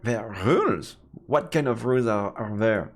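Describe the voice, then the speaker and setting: A man doing live let's play commentary and reading game text aloud, halting and repeating words as he goes. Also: medium pitch, lively intonation. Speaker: game streamer. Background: faint game music.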